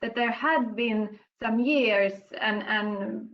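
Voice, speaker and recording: Higher-voiced woman on a lo-fi panel line. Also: slow. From Sweden. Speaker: panelist, culture executive. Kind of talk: panel discussion. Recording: dull lo-fi line.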